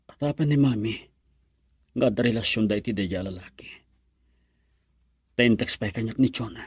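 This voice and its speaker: A man's voice: on the low side, normal speed, slightly rough, no room sound, studio quality. An actor playing a troubled, bashful, lovesick young man with a Filipino accent and hesitant delivery in a radio drama. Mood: dejected.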